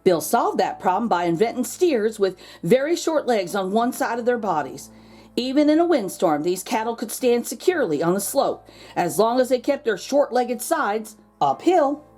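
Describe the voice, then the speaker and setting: A woman reading a children's picture book aloud as host, expressive, drawing out words for drama. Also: on the high side, moderate pace, faint music behind. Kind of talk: animated storybook read-aloud for children.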